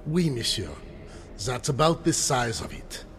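A man playing a dashing, excitable inventor hero in a theatrical old-time radio serial. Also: medium pitch, a little slow, a little hiss or hum, lightly acted. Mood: assured. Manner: theatrical, exclamatory.

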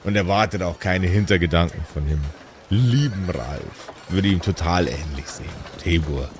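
Guy doing a smug dodgy cleric, really low voice, slangy and insinuating, noisy recording. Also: normal speed, close to an everyday voice. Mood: mocking. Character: shady male cleric, smug, calling in favors.

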